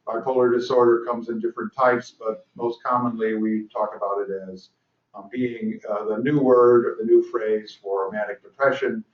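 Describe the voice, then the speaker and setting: An older man giving a lecture at a training session, talking with dry humor and lots of ums and restarts. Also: mid-range voice. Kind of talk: conference lecture. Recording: roomy, distant, dull lecture recording.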